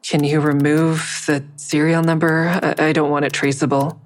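A woman's voice: low voice, little pitch movement, normal speed, lightly acted. A woman playing a wary, dry-witted investigator who narrates her own story in an audio drama, guarded and understated. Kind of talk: first-person audio drama narration and dialogue.

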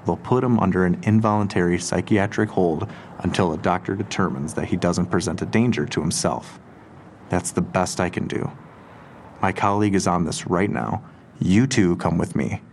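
Deep-voiced, condescending police detective. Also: male voice, slight background noise. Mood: measured, official. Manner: deliberate, patronizing, deadpan. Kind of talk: audio drama dialogue.

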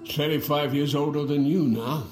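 A man's voice: on the high side, fairly even intonation, normal speed, a little mellow, close to an everyday voice. An actor in an audio drama playing a wry, world-weary old twin brother in his sixties. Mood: wry, moved. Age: 60s. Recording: roomy echo, music underneath.

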